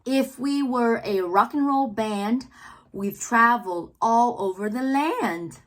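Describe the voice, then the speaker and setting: A woman reading poems aloud for children in a theatrical way, drawing out words and pausing between phrases. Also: on the high side, a bit bright. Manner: theatrical, drawn-out words with pauses. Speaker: read-aloud host, children's reader. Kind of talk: expressive poetry read-aloud for children.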